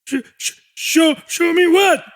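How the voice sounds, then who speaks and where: A man's voice: very high-pitched, slow, fairly bright tone, pitched above the performer's own voice, exaggerated voice. A man voicing a small young monster boy in an audiobook, earnest and frightened but resolute, prone to stammering.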